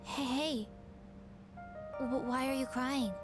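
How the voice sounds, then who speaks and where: A woman's voice: high voice, steady tone, slow. An actress playing an aloof, prickly yet dreamy teenage girl in a video game drama, blunt and guarded. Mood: bewildered. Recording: music over the voice.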